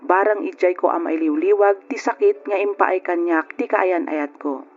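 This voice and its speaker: An actress playing a heartsick letter writer who narrates a sad life story in an old radio drama. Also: female voice, on the low side, normal speed, lightly acted. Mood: sorrowful. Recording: dull lo-fi radio, music underneath.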